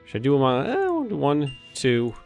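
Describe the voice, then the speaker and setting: Man, slightly hoarse, casual gameplay commentary, thinking aloud, mid pitch, faint music behind. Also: wildly swooping pitch, normal speed, a little mellow. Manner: thinking aloud, muttering, mild cursing. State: a little hoarse. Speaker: let's play host.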